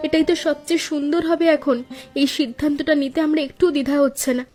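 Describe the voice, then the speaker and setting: A woman's voice: sits high, fairly even intonation, quick pace, darkish tone, natural voice. A woman playing a gracious young daughter-in-law, the elder sister in a cartoon story, earnest and polite. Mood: warm, decisive.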